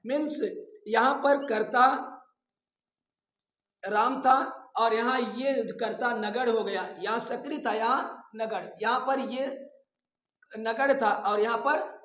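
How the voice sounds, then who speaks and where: A man teaching a grammar lesson, explaining rules deliberately and repeating his phrases. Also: extremely high pitch, fairly bright tone. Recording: echoey room sound.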